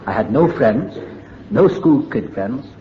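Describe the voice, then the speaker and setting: A man's voice: medium pitch, lively intonation, takes it slowly, highs cut off, a little hiss or hum. Older Welsh man telling his story. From Wales. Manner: reflective, candid, wry storytelling. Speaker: AA meeting speaker. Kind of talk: personal recovery story at a meeting.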